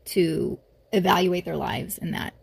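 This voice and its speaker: Gravelly woman gently steering the chat. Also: lowish voice, measured pace. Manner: steering, calm, gently prompting. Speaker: podcast host. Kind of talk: casual faith chat.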